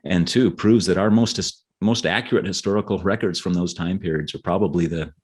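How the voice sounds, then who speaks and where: A man speaking as an author guest in a conversational interview, explaining in a halting way and often repeating words. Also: sits low, a little mellow, breathy edge. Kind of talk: conversational interview, explaining history and his novels.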